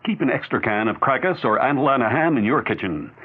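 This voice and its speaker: A man's voice: medium pitch, expressive, fast, breathy edge. A man reading a scripted 1970s radio commercial as an announcer. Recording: phone-like old radio broadcast.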